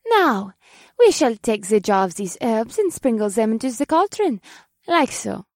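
Woman acting a high-pitched, perky witch professor. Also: big pitch swings, measured pace, dry recording, clean studio sound. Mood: instructive. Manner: bright, encouraging, polite. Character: perky silver-haired witch professor, eager and poised. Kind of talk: audiobook character dialogue.

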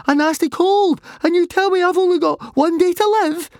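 A sickly young boy in a children's storybook. The voice is very high and piercing, full of indignant complaint. He is touchy and quick to protest. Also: darkish tone, no echo, clean studio sound. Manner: indignant, eager, shrill protesting. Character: irritable, sickly boy with a nasty cold, protesting.

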